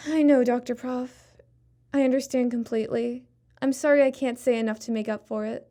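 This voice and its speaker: A woman's voice: on the high side, steady tone, normal speed, dark tone, lightly acted. A young woman playing a meek, apologetic university student in an audio drama. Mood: remorseful.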